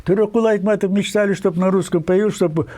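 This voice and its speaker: An older man from Kyrgyzstan speaks as an interview guest, his voice sitting unusually high for a man. He presses his points insistently, wandering off on tangents and filling pauses with hesitations. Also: fairly even intonation, mellow. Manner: insistent, digressive, many pauses and fillers. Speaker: guest writer. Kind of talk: emphatic interview talk.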